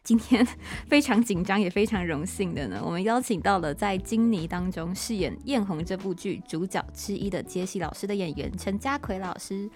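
A woman's voice: medium pitch, normal speed, few pauses, a little mellow. A woman with a Taiwanese Mandarin accent hosting a podcast interview in a casual, giggly, informal way. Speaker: podcast host.

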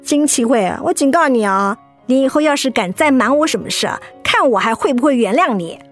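A feisty young Taiwanese woman in a radio drama, with a high voice over music. She scolds and nags in a blunt, exasperated way, though she is soft underneath. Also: expressive, fairly quick. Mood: teasing, threatening. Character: feisty, scolding, softhearted young woman, hurt best friend. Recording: music behind the voice.

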